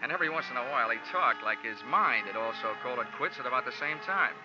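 A man's voice: medium pitch, animated, fast talker, lightly acted. An actor playing a wry, laconic male insurance investigator narrating and acting in a 1940s radio detective drama. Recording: dull old 1940s radio recording, music behind.

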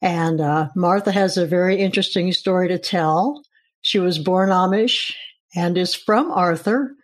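A woman's voice: lowish voice, measured pace. A woman hosting a casual interview, asking long, rambling questions with friendly restarts. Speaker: interview host.

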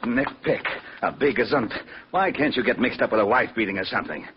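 Husky, grumbling police detective. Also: male voice, medium pitch, expressive, a little fast. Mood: exasperated. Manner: gruff, exasperated, wry. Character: grumbling, long-suffering male police detective. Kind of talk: 1940s-50s radio detective drama acting. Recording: dull old-time radio recording.

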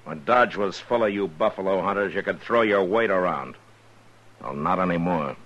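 A very deep, husky Old West marshal, terse and watchful, with a lonely gruffness. It sounds like a dull old radio western. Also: male voice, lively intonation, moderate pace, fairly bright tone. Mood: stern. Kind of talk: 1950s radio western acting.

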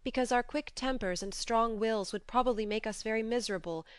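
A woman's voice: on the high side, brisk, clean tone, no room sound, clean studio sound, pitched above the performer's own voice, natural voice. A woman voicing a frank, resolute but tender young woman in an audiobook, earnest, imploring and firm. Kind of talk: audiobook character voice.

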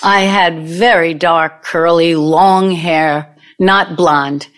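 A woman's voice: lowish voice, fairly even intonation, takes it slowly, a bit bright. A woman acting in an audio play as a brazen, needling older ex-girlfriend out for revenge, blunt and theatrical. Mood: brazen, reminiscing.

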